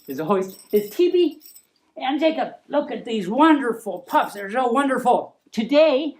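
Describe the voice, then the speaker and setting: A woman with a fairly high voice hosts a storytime. She reads formal text aloud and slips into casual, playful asides as she goes. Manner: playful, chuckling asides between formal reading. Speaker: storytime host.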